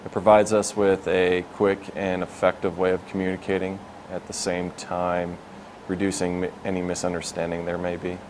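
A man's voice: low-pitched, monotone, normal speed, a little mellow. A man in his twenties explaining his work as a military air traffic controller in an informal, matter-of-fact interview. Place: indoors in a glass-walled control tower.

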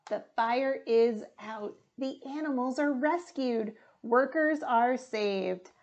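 A young woman reading a children's storybook aloud in a deliberate, expressive way. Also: a little high. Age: young adult. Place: small room indoors.

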